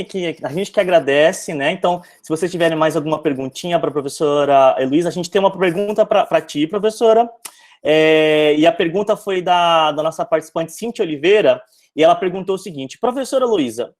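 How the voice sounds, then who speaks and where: Heard first is a somewhat high man's voice moderating an online event. He reads out an audience question and hands it to the speaker in a polite, brisk way.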